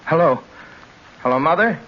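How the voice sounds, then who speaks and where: A man's voice: fairly high pitch, expressive, moderate pace, close to an everyday voice. An actor playing a haunted young man driving alone, in tense 1940s radio drama style that moves between whispers and frantic questions. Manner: tense, fraught narration that sinks to whispers and rises to frantic questions. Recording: muffled old radio broadcast with faint hiss.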